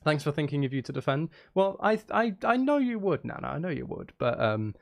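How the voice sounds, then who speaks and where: Guy streaming, casual rambly chat, bouncy pitch, bit of vocal fry, playful, repeats himself. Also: big pitch swings, a little fast. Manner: playful, rambling, self-interrupting, often repeating phrases. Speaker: live streamer, music and game maker. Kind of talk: casual livestream chat with viewers while working.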